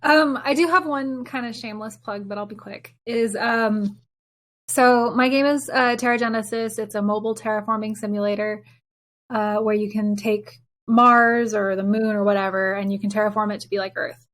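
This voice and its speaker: A woman's voice: medium pitch, steady tone, normal speed, a bit bright. A woman co-hosting a podcast, talking casually and informally. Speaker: podcast co-host, game developer.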